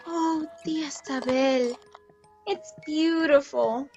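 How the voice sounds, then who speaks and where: An actress playing a sweet, excitable young niece, gushing and affectionate, in a radio drama. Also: female voice, sits high, takes it slowly, faint music behind. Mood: excited, affectionate.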